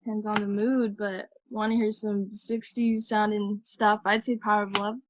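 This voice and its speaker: A woman in her twenties, a musician guest on a podcast, talking earnestly and softly. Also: mid-range voice, flat delivery, normal speed, background noise. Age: 20s. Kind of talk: casual interview chat.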